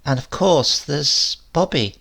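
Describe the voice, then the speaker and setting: A chatty old landlady near seventy, acted by a man in a horror story reading. The voice is heavily creaky and husky, swinging up and down as she gossips and confides, a little defensive about herself. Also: fairly high pitch, animated, slow, fairly bright tone, exaggerated voice. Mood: wistful, confiding. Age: older. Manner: gossipy, colloquial, rambling, confiding.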